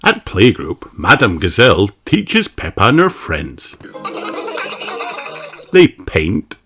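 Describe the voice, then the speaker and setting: A man reading picture books aloud for children in a slow, playful, exaggerated storytelling way. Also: lowish voice, wildly swooping pitch, background noise. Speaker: storybook reader.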